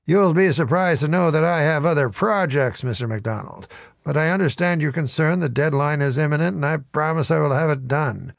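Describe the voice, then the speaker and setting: A man's voice: fairly high pitch, a little fast, creaky, no room sound, studio quality. A man reading aloud as a pompous, genteel elderly male ghostwriter with fussy, formal diction. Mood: smooth, placating.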